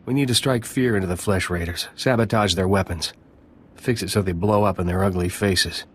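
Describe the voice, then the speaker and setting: An actor playing a gruff, militant village scout chief in a video game, blunt and hard-bitten. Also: male voice, low-pitched, fairly quick. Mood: gruff resolve. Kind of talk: video game dialogue acting.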